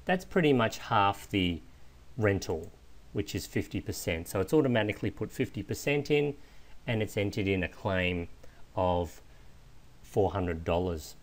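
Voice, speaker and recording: A man giving an informal, step-by-step software walkthrough, explaining as a screencast instructor. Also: fairly low pitch, expressive, a little slow. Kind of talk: step-by-step screencast tutorial narration.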